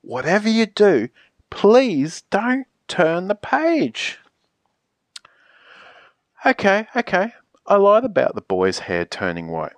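A woman voicing an exasperated, pleading character in a children's picture book, theatrical and emphatic with drawn-out pleas. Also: medium pitch, wildly swooping pitch, no echo. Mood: feigned calm.

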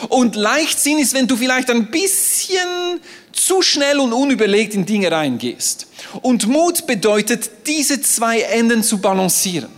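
A man whose first language is French, preaching a sermon on a stage in a playful, animated way. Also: very high voice, measured pace. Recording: reverberant hall.

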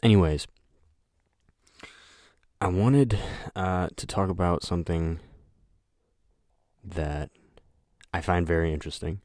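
A young man hosting a solo podcast, talking in a flat, monotone voice that rambles, with lots of hesitation and filler words. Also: low-pitched, slow, long pauses, no echo, studio quality. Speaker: podcast host. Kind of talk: casual solo podcast chat.

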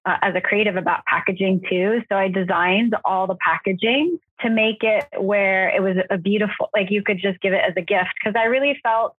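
Guest, woman from Syria, chatty and unscripted, husky tone, phone-quality remote audio. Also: mid-range voice, fairly quick, few pauses, dry recording. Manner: conversational, with fillers and self-corrections. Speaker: guest, co-founder of a soap brand. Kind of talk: casual interview answers.

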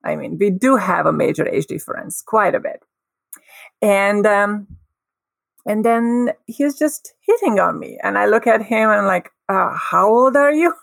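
A woman from Hungary, a guest telling a personal story in a lively, expressive way. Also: medium pitch, a little slow, gravelly, dry recording. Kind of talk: casual storytelling.

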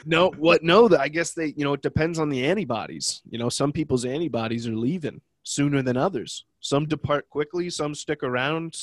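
A man's voice: fairly high pitch, brisk, few pauses, no echo. A young man with a head cold, his voice nasal and congested. He talks in a loose, rambling way, full of jokes at his own expense, like a podcast co-host chatting with a friend. The sound is dry and close, with no room around it. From Ohio. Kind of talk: casual chat.

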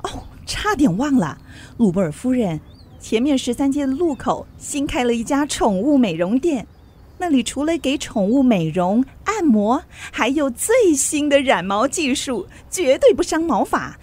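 A gossipy society lady in a radio drama. Her high voice has a husky edge and swings freely up and down as she chatters expressively. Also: very animated, a little slow, darkish tone, exaggerated voice. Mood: coaxing, chatty. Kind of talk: Mandarin literary radio drama acting.

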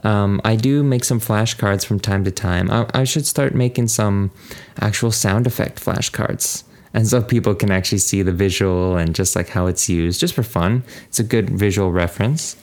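Man, low-pitched, podcast host, casual chat, language-learning show. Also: moderate pace, a little mellow.

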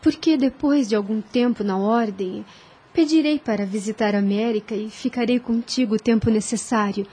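Soft-spoken devout young nun, breathy mellow voice, radio play. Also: female voice, a little high, moderate pace. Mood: earnest. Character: devout young woman becoming a nun, gentle and earnest. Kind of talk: radio drama acting.

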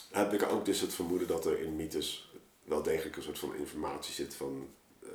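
A man talking casually and reflectively as a guest storyteller, halting and thinking aloud, recorded in an echoey room. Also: low-pitched. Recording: roomy, echoing space.

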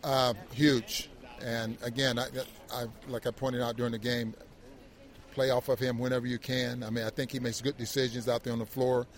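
Halting male sports analyst, mid-range voice. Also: mid-range voice, little pitch movement. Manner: halting, repeats words and circles back. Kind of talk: conversational post-game analysis.

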